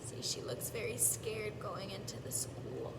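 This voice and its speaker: A woman reading a storybook aloud to preschoolers in a deliberate teacher's manner, stretching out repeated words and pausing to explain. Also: medium pitch, lively intonation. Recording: echoey, distant mic, clearly noisy background.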